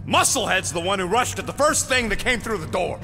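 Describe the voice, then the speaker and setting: An actor playing a small, cranky, boastful alien gunner in a video game, brash and slangy with a gravelly voice. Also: male voice, high-pitched, lively intonation, a little fast, full character voice. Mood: indignant. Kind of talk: video game cutscene acting. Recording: loud music, long reverb.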